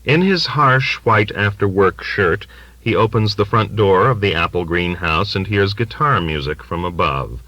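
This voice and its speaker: A man reads literary fiction in a very low voice with a bright, forceful edge. The narration is scripted and bookish, delivered as an audiobook reader would. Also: animated, a little slow, dry recording, poor audio. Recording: dry audiobook recording from cassette.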